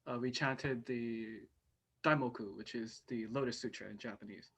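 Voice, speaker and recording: A young male student answering a question in an online class, measured and thoughtful with a few fillers. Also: medium pitch, steady tone, a bit bright, husky. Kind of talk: class discussion.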